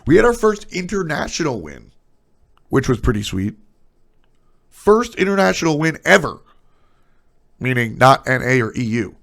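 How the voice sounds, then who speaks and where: Stuffed-up nasal man, gravelly. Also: mid-range voice, fairly bright tone. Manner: blunt, opinionated, breaks off into trailing asides. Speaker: streamer discussing esports. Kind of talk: casual opinionated chat.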